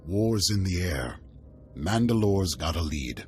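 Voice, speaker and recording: An actor playing a proud, hearty older warrior chieftain in a video game, speaking in a stately, commanding way. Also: male voice, low-pitched, animated, takes it slowly, darkish tone, soft background music, heavily acted. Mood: earnest. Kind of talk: video game cutscene acting.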